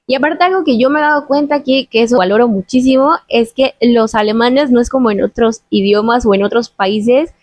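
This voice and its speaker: A woman's voice: on the high side, fairly quick, hardly any pauses, darkish tone, no room sound. A Mexican woman hosting an interview podcast, talking earnestly and giving advice in casual chat. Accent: Mexican Spanish. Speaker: host.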